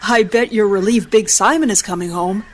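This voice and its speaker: A woman's voice: medium pitch, a little fast, slight background noise, lightly acted. A woman playing a chatty, sympathetic mother in an audio drama. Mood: sympathetic, probing.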